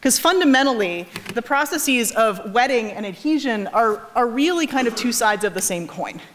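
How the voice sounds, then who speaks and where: This is a woman with a New England American accent and a somewhat high-pitched voice. She lectures informally, like a professor talking to a general audience. Her explanations are animated and often double back as she rephrases herself. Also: normal speed. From New England, United States. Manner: animated explaining, self-correcting with frequent false starts. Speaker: physics professor giving a lecture. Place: large auditorium stage.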